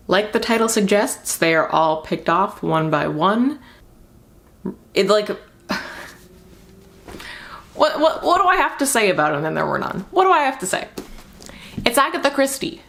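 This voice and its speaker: A woman's voice: low-pitched, creaky, fairly bright tone. A young woman giving a casual, rambling book review as a vlogger, speaking candidly and informally with emphatic repeated words.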